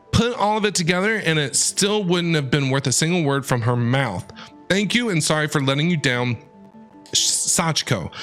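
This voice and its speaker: A man streaming a game, reading dialogue aloud and reacting expressively. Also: fairly high pitch. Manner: expressive, animated. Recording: game music under the voice. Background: game music.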